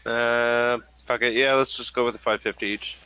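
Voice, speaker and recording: A man's voice: medium pitch, fairly even intonation, normal speed, bright, forceful tone, clean tone. A man acting as game master, talking casually through a tabletop game.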